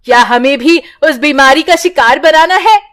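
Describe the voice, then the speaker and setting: A cold, unkind aunt put on by a woman speaks in a very high, irritated voice. She is curt and dismissive, like a storybook villain. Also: normal speed, a bit bright. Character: cold, unkind aunt turning away hungry children. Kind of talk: children's story acting.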